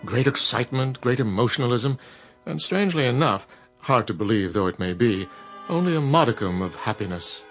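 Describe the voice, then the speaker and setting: An actor playing a suave, unflappable male genie in a radio drama, speaking formally and precisely with measured warnings. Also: mid-range voice, expressive, soft background music, close to an everyday voice. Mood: calm, philosophical.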